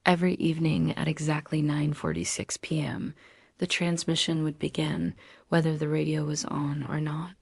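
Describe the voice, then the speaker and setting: A woman with a low voice narrates a scary story as its host. There is steady fry in her tone, and she keeps her pitch within a narrow band. She speaks deliberately, leaving dramatic pauses between phrases. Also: dry recording, clean studio sound. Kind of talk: scripted horror story narration.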